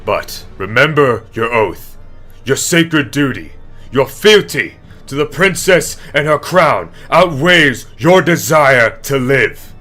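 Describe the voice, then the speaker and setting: A man's voice: mid-range voice, expressive, pushed and bright, soft background music, exaggerated voice. A man playing a stern, solemn military captain who speaks formally and with command in a fantasy audio drama. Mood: grave, commanding. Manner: formal, commanding, deliberate oratory.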